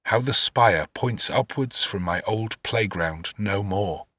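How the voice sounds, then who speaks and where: A man narrating a classic novel in the first person, in an expressive literary reading style. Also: fairly low pitch, slow, no room sound. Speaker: audiobook narrator.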